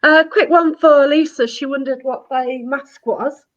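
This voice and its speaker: A woman with a high voice hosts a casual live chat, giggling often and thanking her guest. She comes through a video call with dull, muffled lo-fi sound. Her pitch runs well above most women's. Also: fairly even intonation, unhurried. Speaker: chat host. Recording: dull lo-fi stream audio.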